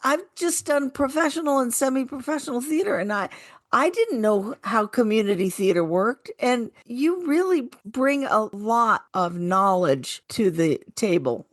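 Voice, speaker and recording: A woman's voice: a little high, very animated, a little slow, no echo. Older woman, dry close radio sound. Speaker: radio co-host and retired actress. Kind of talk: casual radio talk.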